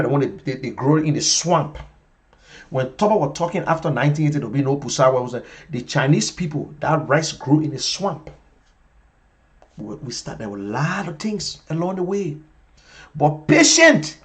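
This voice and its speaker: Emphatic Liberian man in his fifties. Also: on the high side. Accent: Liberian English. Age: 50s. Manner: emphatic, repetitive, swings between loud and quiet. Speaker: political talk show host. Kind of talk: impassioned political monologue.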